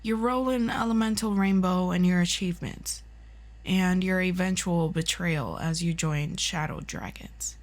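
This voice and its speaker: A woman's voice: lowish voice, moderate pace, lightly acted. A woman playing a composed, formal and slightly condescending superhero agency official in an ASMR roleplay. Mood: formal, polite.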